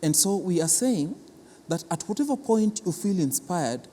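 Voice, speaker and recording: A Kenyan man with a slightly high-pitched voice answers interview questions in an explanatory way. He is hesitant, often repeating words before moving on. The sound carries the reverb of a hall. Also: sing-song, normal speed, warm tone, a little hiss or hum. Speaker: cultural centre official, interview guest.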